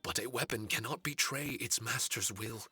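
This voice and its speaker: A man's voice: medium pitch, moderate pace, pushed and bright, slightly rough, no echo, soft background music, exaggerated voice. An actor playing a solemn, humble male spirit warrior in a video game, speaking in a grave, formal way. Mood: grave resolve. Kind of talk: English video game voice acting.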